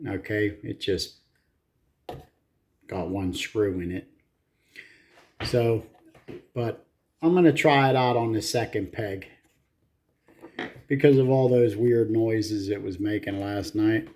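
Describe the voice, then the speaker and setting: A man with a slow, unhurried delivery and a dark, relaxed tone talks his way through a hands-on repair. He speaks in short, halting phrases, half to himself, and is casually profane. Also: fairly low pitch. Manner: muttering, halting, talking to self in short phrases. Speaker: hobbyist repairer filming own repair. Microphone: handheld camera.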